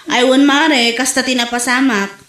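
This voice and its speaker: Casting: actress as teasing, outspoken village friend, Ilocano accent, very high female voice, echoey room. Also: steady tone, normal speed, fairly bright tone. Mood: teasing concern. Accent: Ilocano Filipino. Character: blunt, teasing village wife and gossiping friend. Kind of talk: Ilocano comedy drama dialogue.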